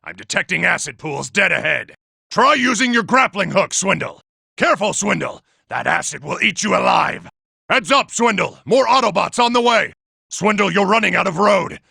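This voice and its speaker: A giant war robot from a video game, a male military tactician used to command. The voice is heavily gravelly and rasping, with a hard, cutting edge. He is impatient and forceful, and his pitch moves widely. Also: animated, moderate pace, no echo, clean studio sound, full character voice. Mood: commanding, tense. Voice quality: gravelly, raspy. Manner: forceful, barking orders. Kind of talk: video game character acting.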